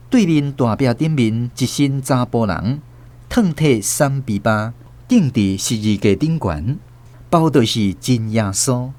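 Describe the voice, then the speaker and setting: A man's voice: mid-range voice, a little mellow. A man with a Taiwanese accent narrating a scripted radio drama as a dramatic storyteller.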